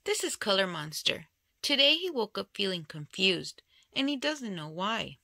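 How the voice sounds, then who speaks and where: A woman reading a children's picture book aloud in an expressive storytelling style. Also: medium pitch, normal speed, gravelly, no room sound, studio quality. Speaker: storybook reader.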